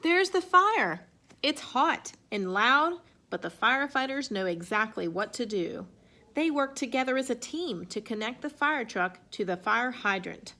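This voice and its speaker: A woman reading a children's picture book aloud in an expressive way. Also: fairly high pitch. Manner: expressive, acts out sound effects and exclamations. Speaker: storybook reader.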